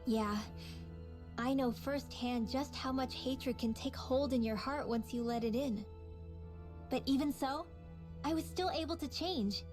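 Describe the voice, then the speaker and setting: A high-voiced earnest young mage. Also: female voice, measured pace, over music. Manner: sincere, reflective. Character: earnest young woman mage, thoughtful and resolute. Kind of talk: video game cutscene dialogue.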